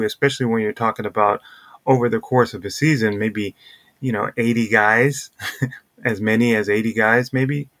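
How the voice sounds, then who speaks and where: A man's voice: lowish voice, fairly bright tone. A man co-hosting a sports podcast, talking casually and thinking aloud as he discusses baseball.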